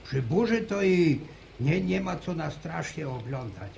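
A gravelly old village grandfather. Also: on the high side, animated, a little mellow, full character voice. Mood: stubborn. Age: older. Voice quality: gravelly, halting restarts. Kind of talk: 1950s radio drama acting. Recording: noisy old radio broadcast recording.

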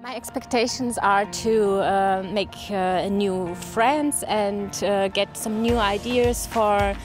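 A young woman from Iran, answering interview questions outdoors in a quick, hesitant way. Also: medium pitch, steady tone, music in the background. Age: young adult. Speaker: youth worker. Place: outdoors by a sports field.

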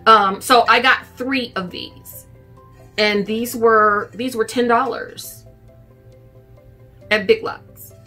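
Casting: middle-aged woman, bright forward voice, casual home haul-video chat, music underneath. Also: fairly high pitch, steady tone, slow, pauses often. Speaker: vlogger. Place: furnished living room at home, indoors.

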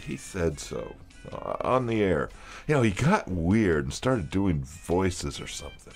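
A man playing an anxious, shaken character in a horror audio drama, with halting, strained delivery. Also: low-pitched, very animated, faint music behind, full character voice. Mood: uneasy. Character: anxious, shaken man desperate to save his friend.

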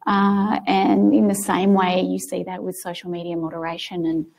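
A woman panelist answering an audience question in a measured, composed way. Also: lowish voice, monotone, gravelly, a little mellow.

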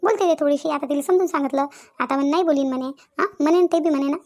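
A woman's voice: high voice, racing, a little mellow. A woman playing insistent, talkative village women in a comedy cartoon, with a rural Vidarbha Maharashtrian accent and a habit of repeating phrases. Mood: insistent, reasoning. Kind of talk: Marathi comedy cartoon dialogue.

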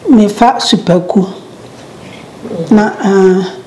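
An older woman from Ghana, speaking Twi, explains a family recipe step by step in a calm, unhurried way in her home kitchen. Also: mid-range voice, a little hiss or hum, poor audio. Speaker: home cook. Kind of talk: cooking demonstration.

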